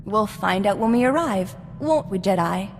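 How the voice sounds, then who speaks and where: An actress playing an eager, headstrong young daughter in a video game. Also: female voice, mid-range voice, animated, moderate pace, in a large hall, a little hiss or hum, natural voice. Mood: eager, determined.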